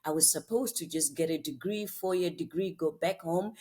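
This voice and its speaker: A middle-aged woman from Zimbabwe, a psychotherapist and founder, telling her story as a podcast guest in earnest, lively bursts. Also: lowish voice, normal speed, slightly rough. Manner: earnest storytelling, lively when quoting herself. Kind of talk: conversational interview.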